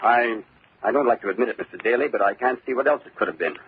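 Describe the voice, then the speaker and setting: An actor playing an earnest, worried company superintendent in an old-time radio drama, on a muffled old recording. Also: male voice, mid-range voice, quick pace, a little hiss or hum, natural voice. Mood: anxious.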